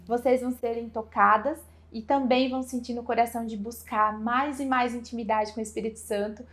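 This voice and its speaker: A woman's voice: fairly high pitch, steady tone, fairly quick. A woman hosting an informal video interview, speaking effusively and directly to viewers. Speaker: host interviewing a guest. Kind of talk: informal video channel introduction and conversation.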